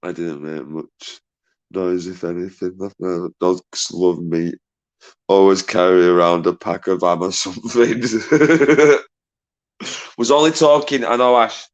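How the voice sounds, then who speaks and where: A man hosting a casual live stream, swearing freely, laughing a lot and bantering with callers in a loud voice. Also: steady tone, takes it slowly. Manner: says he has a deep, loud voice. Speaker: livestream host.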